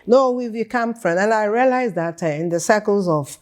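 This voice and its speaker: An older woman in her seventies with a Ghanaian accent that has a British touch. She reminisces as a guest in a conversational interview, pausing to find words and doubling phrases for emphasis. Her pitch sits in the middle range for a woman. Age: 70s. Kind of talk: conversational life-story interview.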